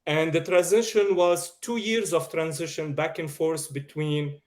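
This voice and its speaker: A man with a Lebanese accent talks slowly and carefully as an interview guest on a video call. His voice sits a little high and his delivery is measured. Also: steady tone. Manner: slow and measured, with soft laughs. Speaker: guest, media producer. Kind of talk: casual interview talk.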